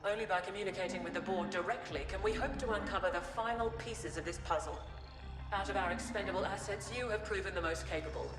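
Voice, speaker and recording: A woman's voice: mid-range voice, fast talker, lightly acted. Woman playing a cold, echoey corporate operative. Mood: formal, businesslike. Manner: formal, precise, condescending. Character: cold, menacing, formal female corporate operative. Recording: echoey hall with music behind.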